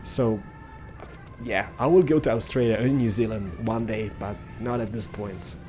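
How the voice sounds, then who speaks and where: A man's voice: fairly low pitch, normal speed, over music. Rambling young man. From Sweden. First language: Swedish. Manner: rambling, with many "like" and "stuff like that". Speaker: gaming youtuber. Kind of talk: casual gameplay commentary.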